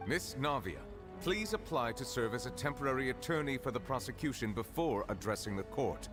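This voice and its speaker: A dignified, stern judge in an anime game, acted by a man. He addresses the court with formal, measured authority in a mid-pitched voice, with music clearly behind. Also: very animated, natural voice. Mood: stern formality. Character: stern, dignified male chief justice.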